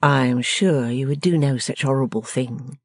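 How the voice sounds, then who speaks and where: A woman voicing a gentle, caring young woman from a storybook, soft, affectionate and reassuring. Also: very deep voice, unhurried, no echo, studio quality, full character voice. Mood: gently reassuring. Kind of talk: storybook character acting.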